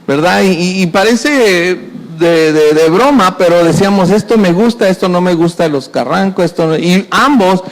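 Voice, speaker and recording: A man's voice: high voice, normal speed, hardly any pauses. A middle-aged man from Mexico, preaching a sermon in a conversational style, full of repetitions and folksy sayings. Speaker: pastor. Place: church hall. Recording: reverberant church hall.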